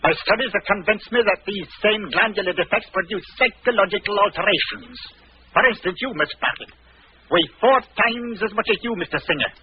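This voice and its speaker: An actor playing a menacing, imperious mad professor in 1940s radio drama style, with pedantic, theatrical speech. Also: male voice, high voice, brisk, close to an everyday voice. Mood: gloating, clinical. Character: sinister mad male professor, menacing and gleeful about experiments. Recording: dull, noisy old radio recording.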